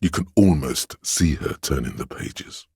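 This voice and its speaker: Worldly voice of knowledge, game narration, rumbling bass pitch, scholarly, measured, close dry sound. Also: male voice, expressive, vocal fry, a little mellow, slightly rough, no echo, heavily acted. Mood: measured, knowing. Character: erudite, worldly inner voice of encyclopedic knowledge, male.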